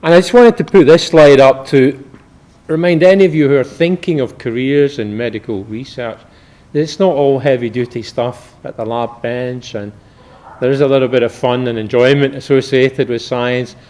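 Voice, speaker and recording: Mellow-voiced male academic giving a lecture. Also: mid-range voice, fairly even intonation, moderate pace. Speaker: university medical researcher giving a talk. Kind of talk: academic public lecture with slides.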